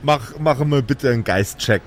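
A man's voice: mid-range voice, normal speed, a little hiss or hum. A man running an improvised role-playing podcast as game master, narrating casually.

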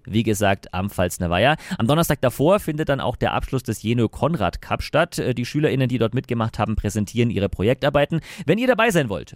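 A man presenting club news to fans, steady and informative, talking directly to the listeners. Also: medium pitch, dry recording, studio quality.

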